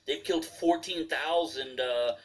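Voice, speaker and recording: Guy ranting in a debate, kinda high voice, sounds far from the mic. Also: measured pace. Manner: forceful, repeating fillers and restarts. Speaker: debate panelist. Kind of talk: heated debate argument. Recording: distant from the mic.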